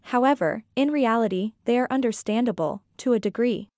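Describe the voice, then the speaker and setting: A woman's voice: fairly high pitch, fairly quick, no echo. A woman reviewer reading her scripted narration in an earnest, opinionated way into a close mic. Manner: earnest, opinionated, even read-out delivery. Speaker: reviewer and author.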